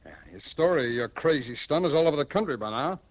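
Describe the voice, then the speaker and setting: Exasperated American police captain, very animated. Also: male voice, a little high, fast talker, no echo. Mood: scolding, exasperated. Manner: insistent, scolding, emphatic. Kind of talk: 1950s radio detective acting.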